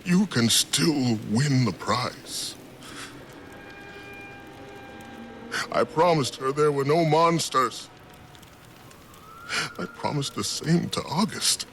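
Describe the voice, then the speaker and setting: An actor playing a weary, grieving father and war survivor in a video game cutscene, with a low, gruff delivery. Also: animated, slow pace, a bit bright, a little hiss or hum.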